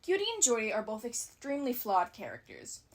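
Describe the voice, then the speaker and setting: A woman's voice: on the high side, wildly swooping pitch, a little fast. A woman giving scripted analysis commentary as a video essayist, with casual asides.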